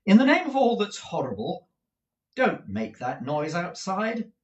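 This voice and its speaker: A man's voice: high voice, huge pitch swings, measured pace, voice pushed up, full character voice. A man doing a harried young dramatist. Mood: irritated. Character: young male dramatist, polite but harried. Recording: roomy echo.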